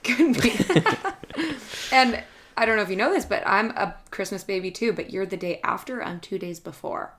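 A woman's voice: mid-range voice, animated, normal speed, fairly bright tone. A woman guest on a podcast, chatting cheerfully with short replies and frequent giggles. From Canada. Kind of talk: casual chat.

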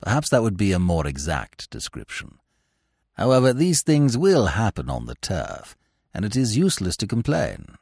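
Guy doing a calm proper valet, super low and quiet, never ruffled. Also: creaky, breathy edge, no room sound, studio quality, exaggerated voice. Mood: composed. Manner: low, hushed, measured and formal. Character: imperturbable male valet, formal and deferential. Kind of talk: audiobook character voice.

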